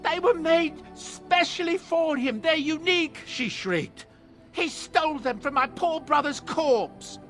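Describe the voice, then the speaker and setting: A man's voice: very high-pitched, unhurried, fairly bright tone, soft background music. An actor playing a genial, middle-aged dwarf merchant in a video game, telling his story in a chatty, theatrical and slightly defensive manner. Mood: shrill mimicry.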